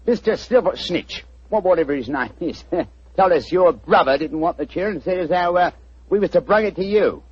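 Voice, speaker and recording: A cheeky Cockney furniture remover, talks in high, lively, slightly husky tones, all chummy coaxing and rhyming slang. The old radio recording sounds dull and muffled. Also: male voice, normal speed, dry recording. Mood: cheery, coaxing. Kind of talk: 1940s radio acting.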